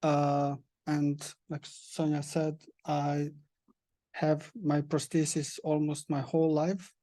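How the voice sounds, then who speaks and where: A young man from Bosnia, speaking as a panel guest with many pauses and restarts. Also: a little high, level tone, warm tone, no echo. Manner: halting, many hesitations and restarts. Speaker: panel guest, prosthesis user. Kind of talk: panel discussion answers.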